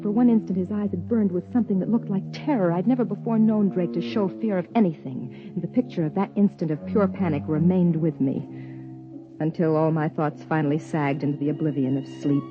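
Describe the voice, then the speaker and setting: A wary, observant wife and biologist, played by a woman, talking in a measured, reflective way. Her voice sits a little low, with soft music under it. The sound is dull and lo-fi, like a 1950s radio broadcast. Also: close to an everyday voice. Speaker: narrator and lead character. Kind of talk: 1950s radio drama acting.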